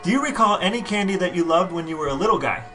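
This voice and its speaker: Slightly high-voiced wry man co-hosting. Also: lively intonation, very fast, music in the background. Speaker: podcast co-host. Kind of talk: casual chat and interviewing.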